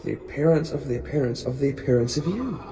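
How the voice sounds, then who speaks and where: A man acting as a clever, commanding professor in an audio drama, speaking in a theatrical, deliberate way. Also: medium pitch, expressive, noisy background, lightly acted. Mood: urgent explaining. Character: commanding, clever professor, weary rescuer of others. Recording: long reverb with music behind. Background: music.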